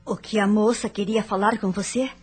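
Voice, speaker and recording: A woman's voice: medium pitch, fairly even intonation, moderate pace, darkish tone, breathy edge, soft background music, close to an everyday voice. A woman with a Brazilian accent playing a suspicious, jealous young wife in a radio soap opera, insistent and dramatic. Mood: probing, insistent.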